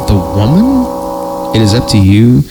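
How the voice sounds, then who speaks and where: A man's voice: medium pitch, huge pitch swings, a little slow, music in the background, low-quality recording. A man hosting a horror story podcast, narrating theatrically and breaking off for joking asides when he stumbles. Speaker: podcast host reading horror stories.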